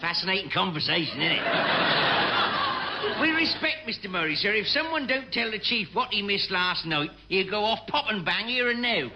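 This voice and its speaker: An actor playing a cheeky, teasing sailor with a droll, drawn-out delivery in an old radio sitcom. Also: male voice, high voice, lively intonation. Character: cheeky, slow-talking male sailor, teasing sidekick. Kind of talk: 1950s-60s British radio sitcom acting. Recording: noisy, hissy old radio comedy recording.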